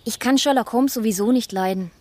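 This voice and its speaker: A woman's voice: fairly high pitch, fairly quick, dark tone, natural voice. A woman playing a bossy, know-it-all little girl detective in a German radio play, pert and scolding. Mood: cheeky, scornful.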